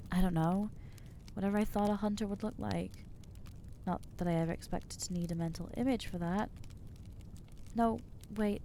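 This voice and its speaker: A woman voicing an anxious, guilt-ridden new vampire in an ASMR roleplay, halting and self-doubting, with wry exasperation. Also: medium pitch, dark tone, slight background noise, close to an everyday voice. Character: anxious newly turned female vampire, guilty and overwhelmed. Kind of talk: ASMR roleplay acting to the listener.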